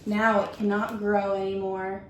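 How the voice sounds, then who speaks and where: A woman's voice: mid-range voice, flat delivery, measured pace. A woman teacher reading a children's picture book aloud, speaking simply and instructively to young listeners. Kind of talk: children's story read-aloud with questions to listeners. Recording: echoey room with faint background noise.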